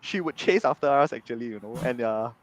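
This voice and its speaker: A man, chatting as a guest on a video call, animated and tagging his sentences with "right?". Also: mid-range voice, a little fast. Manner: animated, frequent "right?" tags. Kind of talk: casual storytelling in an interview. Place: home room on video call.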